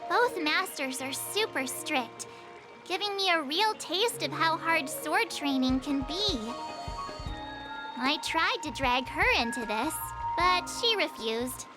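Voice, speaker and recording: Eager teenage girl apprentice for anime game: very high, lively, earnest delivery. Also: slow. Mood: upbeat, proud. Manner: chirpy, earnest, expressive. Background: music.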